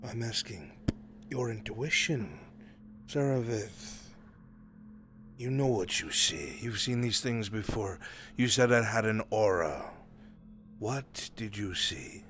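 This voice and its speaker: A man playing a worldly, sly fantasy adventurer in live roleplay, with deliberate, coarse-mouthed delivery. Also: on the low side, fairly bright tone. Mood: probing, serious. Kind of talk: live improvised fantasy roleplay in virtual reality. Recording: background music behind the voice.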